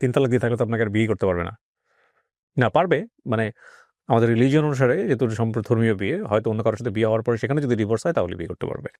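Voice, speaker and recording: A man's voice: medium pitch, racing, darkish tone, no room sound, studio quality. A man from Bangladesh hosting a radio show, questioning a guest about her life story in a blunt, pointed way. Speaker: radio host. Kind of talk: probing interview about a caller's life story.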